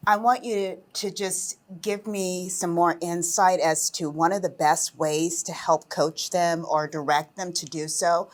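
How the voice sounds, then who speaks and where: A woman in the audience, a business developer, asking an earnest question and saying she has a big voice. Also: fairly low pitch. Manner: earnest, self-described big voice.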